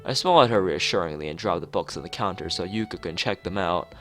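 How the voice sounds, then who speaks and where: A man with a low voice reads a visual novel aloud on a stream. His intonation is very animated, and he breaks into loose, rambling asides, sometimes tripping over his words. Faint music plays behind him. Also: quick pace. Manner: stumbles over read lines and rambles in asides. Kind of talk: let's play read-aloud with casual asides.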